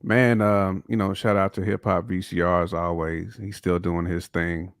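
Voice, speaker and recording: A man's voice: sits low, level tone, normal speed, clear, resonant tone, dry recording, clean studio sound. An American man co-hosting a podcast, chatting casually in a laid-back, slangy way. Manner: laid-back, slangy, peppered with "you know what I'm saying". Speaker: podcast co-host. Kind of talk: casual chat with shout-outs.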